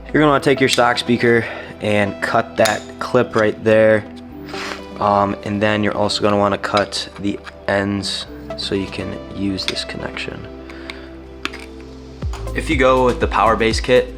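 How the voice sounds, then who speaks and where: A man hosting a DIY car tutorial, giving plain, informal step-by-step instructions in a casual how-to style. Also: lowish voice.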